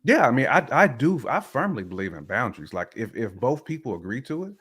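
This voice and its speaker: A man's voice: mid-range voice, very animated, fairly quick, a bit bright, husky. A man chatting casually and colloquially, speaking emphatically and repeating phrases to make his point. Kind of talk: casual chat.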